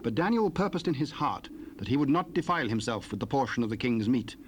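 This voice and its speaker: A man narrating a dramatized Bible reading in a measured, solemn way, from an old cassette tape. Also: medium pitch, lively intonation, slight background noise.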